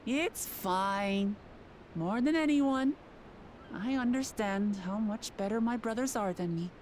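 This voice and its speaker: A woman's voice: mid-range voice, slow, darkish tone, slight background noise, close to an everyday voice. A young woman voicing an earnest royal heir in a fantasy video game, sincere and resolute in manner. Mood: resigned.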